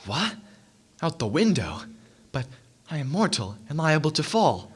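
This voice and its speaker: An actor in a radio drama playing a crotchety, frightened old miser with a theatrical, exclamatory delivery. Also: male voice, on the high side, very animated, unhurried, breathy edge, exaggerated voice. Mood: nervous. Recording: loud music behind the voice.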